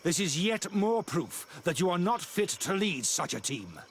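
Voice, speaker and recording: A man's voice: high-pitched, very animated, measured pace, slight background noise, full character voice. A man playing a bitter, accusing priest in a video game. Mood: accusing, angry. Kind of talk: video game cutscene dialogue. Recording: faint swamp ambience, frogs.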